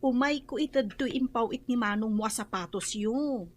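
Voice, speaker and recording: A woman acts out a cheerful, chatty young woman in a comedy radio play. Her voice is high. The sound is dry and close. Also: normal speed, no room sound, close to an everyday voice. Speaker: radio drama actress. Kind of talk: Ilocano comedy radio drama acting.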